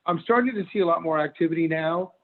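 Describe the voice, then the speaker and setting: A man in his sixties from Connecticut, a commercial broker testifying at a remote public hearing, speaking haltingly with many fillers. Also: on the high side. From Norwalk, Connecticut. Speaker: commercial real estate broker. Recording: tinny phone-line audio.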